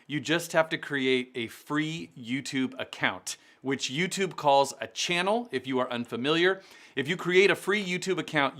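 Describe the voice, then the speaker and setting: A man hosting an online charity gala, upbeat and effusive, greeting viewers warmly in a chatty way. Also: mid-range voice. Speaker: event host.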